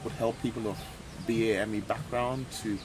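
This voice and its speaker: Male podcast host, lowish voice, lots of affirmations, background noise audible. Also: fairly even intonation, normal speed. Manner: frequent backchannel affirmations. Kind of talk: podcast interview.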